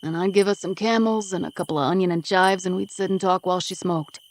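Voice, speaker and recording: A woman putting on a defensive East Coast man, gravelly, profane, audiobook. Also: on the low side, fairly even intonation, fast talker, slight background noise, natural voice.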